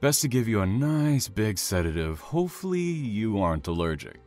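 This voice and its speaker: A man's voice: fairly low pitch, big pitch swings, moderate pace, darkish tone, faint music behind. A man playing a sinister serial killer in an audio drama, with a patronizing, falsely friendly manner. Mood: falsely soothing menace. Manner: soothing, patronizing menace, casual with friends.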